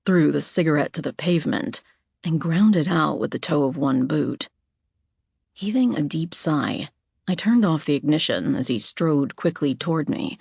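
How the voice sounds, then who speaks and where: A woman's voice: deep voice, gravelly, a little mellow, breathy edge, no echo, clean studio sound. A woman narrating a first-person thriller as a scripted audiobook.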